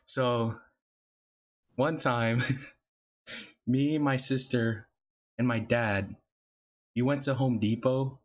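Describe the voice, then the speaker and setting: A man's voice: mid-range voice, fairly even intonation, drawn-out words, long pauses. A young man hosting a podcast, telling a rambling childhood story with many fillers and laughing as he goes. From Filipino family, California. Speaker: podcast host. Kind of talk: casual storytelling chat. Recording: dull lo-fi podcast audio.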